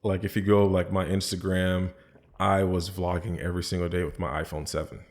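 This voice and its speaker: A man's voice: low voice, flat delivery, a little fast. A man with an American accent, a podcast guest in casual chat, easygoing and rambling with many fillers and restarts. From born in the Bahamas, raised in the US.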